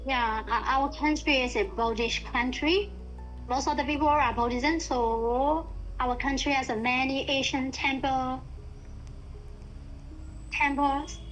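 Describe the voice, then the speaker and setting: Hesitant high-voiced Thai woman. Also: fairly even intonation, takes it slowly, a bit bright, soft background music, low-quality recording. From Thailand. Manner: hesitant, searching for words with many fillers. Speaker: guest, university student. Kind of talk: casual language-practice chat.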